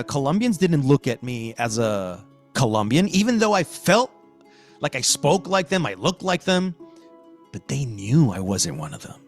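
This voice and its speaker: An American man co-hosting a podcast, talking through a story in an emphatic, reflective way with dramatic pauses. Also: medium pitch. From Queens, New York, United States. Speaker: podcast co-host. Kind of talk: conversational podcast analysis.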